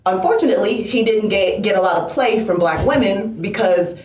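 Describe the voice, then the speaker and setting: A young American woman co-hosting a podcast, talking in a casual, animated way and acting out the voices of people she quotes. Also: medium pitch, fairly quick. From United States. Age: young adult. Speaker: podcast co-host. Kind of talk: casual chat. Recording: echoey room.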